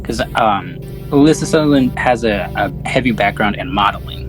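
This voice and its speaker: A man's voice: fairly low pitch, expressive, normal speed, over music, poor audio. A man hosting a podcast in a casual, slangy film discussion, swearing freely. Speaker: podcast host.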